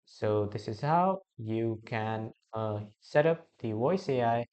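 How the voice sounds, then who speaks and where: A man with a medium-pitched voice narrates a how-to software tutorial. He speaks directly to viewers as their host, laying out instructions one step at a time. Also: expressive, takes it slowly.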